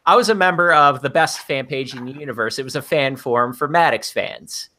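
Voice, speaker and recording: A man with a high-pitched voice telling a story as a podcast guest, swearing freely and ranting. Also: brisk, sharp tone. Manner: profanity-laced ranting. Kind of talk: profane casual storytelling.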